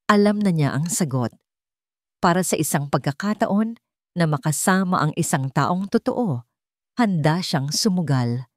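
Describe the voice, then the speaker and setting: A woman's voice: lowish voice, a little mellow, no echo, clean studio sound. A woman with a Filipino accent narrating a scripted romantic drama as a storyteller.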